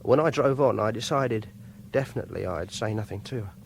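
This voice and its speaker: A man's voice: on the low side, fairly quick, gravelly, very noisy. An actor playing an awkward, obsessive young captor in a radio drama, hesitant and insistent in his speech. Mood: quiet, brooding. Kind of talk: radio drama acting with confessional narration.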